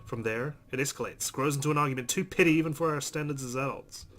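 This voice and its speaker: A man's voice: medium pitch, fast, a bit bright. A man hosting a let's play, reading visual novel narration aloud expressively with casual asides. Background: game music.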